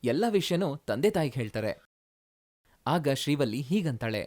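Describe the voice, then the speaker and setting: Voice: mid-range voice, sing-song, normal speed, a little mellow. A storyteller reading scripted narration for a moral tale.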